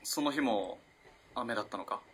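An actor playing a polite, earnest young student in a radio drama, telling a story in a measured, musing way. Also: male voice, medium pitch, a bit bright, slight background noise, natural voice. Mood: courteous. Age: young adult.

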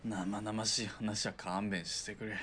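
An actor voices a wry outlaw spotter in a radio drama. His voice is on the low side and his speech is rough and casual, given to dry retorts. A faint ambient noise sits behind him. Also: little pitch movement, unhurried, a bit bright. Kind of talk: radio drama acting, conversational.

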